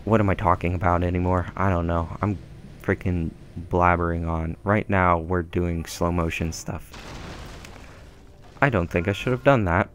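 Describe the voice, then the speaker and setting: Young male let's-player, deep, chatty and meandering, headset mic at home. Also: steady tone, normal speed, slight background noise. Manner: rambling, filler-heavy, self-deprecating. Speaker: gameplay commentator. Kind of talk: casual gameplay commentary. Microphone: gaming headset mic.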